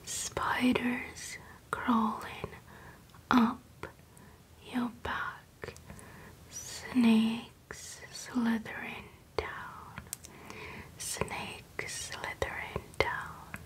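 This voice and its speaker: ASMR whisperer, woman, very slow, even and hushed, playful, close mic in a small room. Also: a little high. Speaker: ASMR creator.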